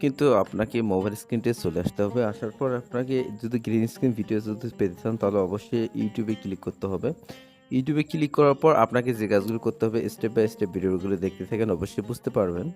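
Fast-talking man giving step-by-step instructions. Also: fairly low pitch, a little mellow. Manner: repetitive, instructional phrasing. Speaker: tutorial host. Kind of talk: step-by-step screen tutorial narration. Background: background music.

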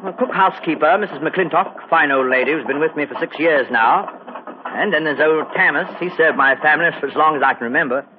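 A man's voice: on the high side, fast, lightly acted. A man playing a courteous young lord of a haunted castle, in 1940s radio acting style. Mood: hospitable. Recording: tinny, noisy old radio broadcast.

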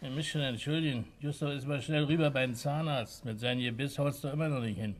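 An actor playing a familiar, chatty pub landlord with colloquial speech in a German radio play. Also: male voice, mid-range voice, steady tone, fairly quick, natural voice. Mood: obliging.

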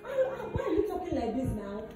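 Lady doing an offended visitor, lively high voice, echoey far-off room. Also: quick pace, full character voice. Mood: indignant. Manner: polite, puzzled and indignant. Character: indignant young woman messenger. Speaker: visitor delivering a message. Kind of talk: comedy skit acting. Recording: echoey room, distant mic, music.

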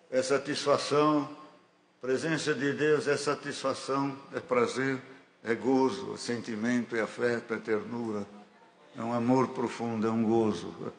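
A man's voice: medium pitch, gravelly. A man with a Brazilian Portuguese accent preaching a fervent sermon in a church hall, building to emphatic declarations. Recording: echoey church hall with faint ambience.

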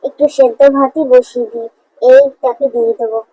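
Woman acting a high-pitched dutiful village wife. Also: steady tone, fairly quick, warm tone, breathy edge, rough recording. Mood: resigned. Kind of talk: Bengali animated fairy-tale dialogue.

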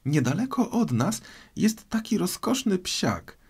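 A man puts on an earnest little girl from a storybook, high in pitch and full of lilting swings. She is polite and chatty, easily flustered and keen to smooth things over. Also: sing-song, unhurried, darkish tone, full character voice. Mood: eager chatter. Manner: earnest, apologetic, conciliatory. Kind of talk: storybook character voice.

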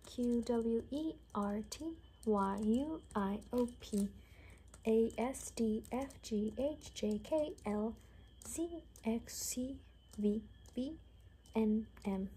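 A young woman speaking casually in a hushed, half-whispered ASMR roleplay style, close to the mic in a room. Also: a little high, slow, slightly rough, rough recording. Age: young adult. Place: small furnished room indoors. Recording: noticeable background noise.

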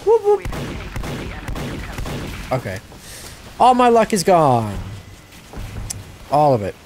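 A young man talks through his own game session, his pitch swinging wildly as he yelps and laughs at each moment. His voice sits a bit higher than most men's and sounds a little stuffed up. A faint hiss runs behind him. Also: slow. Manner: excitable, yelps and laughs at the action. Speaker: gaming channel host. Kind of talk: live gameplay commentary.

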